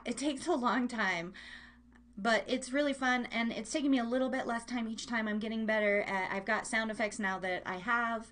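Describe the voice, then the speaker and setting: A woman's voice: on the high side, a bit bright. A woman hosting an internet radio podcast, chatting casually to listeners in a rambling, self-deprecating way. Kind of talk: casual live chat to listeners.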